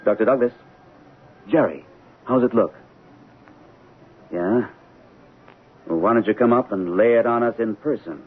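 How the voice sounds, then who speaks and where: Man acting calm surgeon, tinny old radio. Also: lowish voice, slight background noise, natural voice. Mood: professional, measured. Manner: soothing, measured, authoritative. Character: calm, reassuring surgeon, devoted lover of woman doctor.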